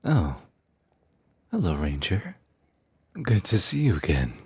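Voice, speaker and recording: A man acts out a scheming trickster god in a fantasy audio drama. His voice is deep and a touch rough, recorded dry and close, with lively swoops as he teases with unhurried, theatrical politeness. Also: dry recording, exaggerated voice. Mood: smooth, teasing. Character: sly, teasing trickster god, smooth-talking schemer. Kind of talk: audio roleplay acting.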